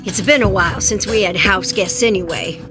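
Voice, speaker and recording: A woman's voice: medium pitch, brisk, close to an everyday voice. A woman playing a stubborn, plain-spoken old country wife in an audio drama, wry and defiant, with music behind her. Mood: wry, steady.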